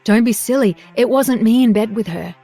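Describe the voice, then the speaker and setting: A woman's voice: fairly high pitch, normal speed, warm tone, soft background music. A woman reading the lines of a possessive, protective young husband in a firm, insistent way. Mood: earnest.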